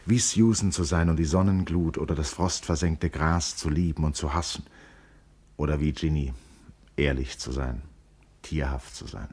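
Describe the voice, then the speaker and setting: An actor playing a brooding, reflective man in a poetic radio play, with a raspy voice. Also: low-pitched, darkish tone. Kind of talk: lyrical literary radio play monologue.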